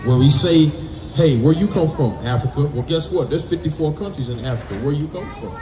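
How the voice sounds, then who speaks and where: A man's voice: on the high side, brisk. American man preaching, echoey and far off. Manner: emphatic, colloquial, punctuates with "right?" and "man". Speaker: street preacher teaching from scripture. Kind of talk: call-and-response Bible teaching. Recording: distant roomy mic amid people's noise.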